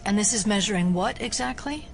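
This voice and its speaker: A woman playing a skeptical FBI agent and doctor in an audio drama, speaking in a measured, clinical way. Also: mid-range voice, gravelly, faint music behind, lightly acted. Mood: measured, inquiring.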